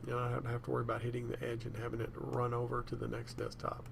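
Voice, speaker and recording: A man presenting a software tutorial in a casual, unscripted way, thinking aloud as he goes. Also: medium pitch, monotone, fast, vocal fry, background noise. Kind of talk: casual screencast demonstration.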